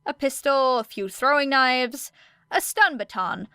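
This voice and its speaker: A woman's voice: high voice, a little slow, sharp tone, clear, resonant tone, no room sound, studio quality, voice pushed up, full character voice. A woman voicing a guarded teenage girl, a hero student who is apologetic and casually understated, in an audiobook story. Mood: matter-of-fact.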